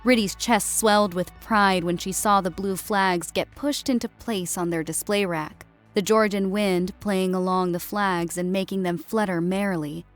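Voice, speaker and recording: A woman's voice: mid-range voice, steady tone, normal speed, few pauses, soft background music. A woman reading scripted fiction as an audiobook narrator. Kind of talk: scripted story narration with character dialogue. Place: close, dry recording with music behind.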